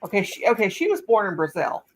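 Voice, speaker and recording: A woman's voice: medium pitch, very animated, quick pace, slight background noise. An older woman hosting a casual live chat on her laptop, telling a rambling story full of restarts. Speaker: channel host.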